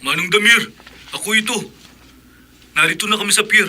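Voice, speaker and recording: A polite younger visitor. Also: male voice, high-pitched, fairly quick, sharp tone, exaggerated voice. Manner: polite, respectful. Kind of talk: radio drama acting.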